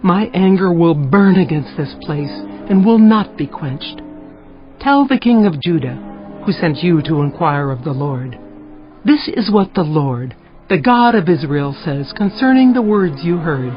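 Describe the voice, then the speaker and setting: Female prophet, dulled audio, music underneath, solemn, stern, measured declamation, scripture drama. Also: on the low side, animated, muffled recording. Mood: stern, grave.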